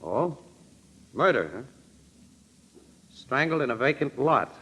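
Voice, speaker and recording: A man's voice: medium pitch, sing-song, slow pace, a bit bright, breathy edge, exaggerated voice. An actor playing a wry, put-upon newspaper photographer with slangy tough-guy talk in a vintage radio drama. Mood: brisk, alert. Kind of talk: old-time radio drama acting.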